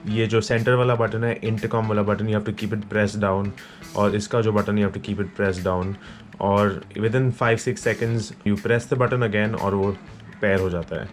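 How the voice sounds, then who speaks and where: A man's voice: on the low side, flat delivery, slow pace, faint music behind. A man with an Indian accent gives a casual, informal product review, explaining things to viewers and switching between two languages. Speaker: motorcycle gear reviewer. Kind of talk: casual product review, mixing Hindi and English.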